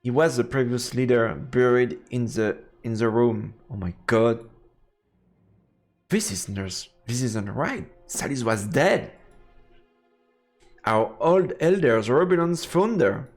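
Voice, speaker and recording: A man's voice: medium pitch, animated, takes it slowly, faint music behind. A man doing a let's play, reading game narration aloud and reacting casually as he goes.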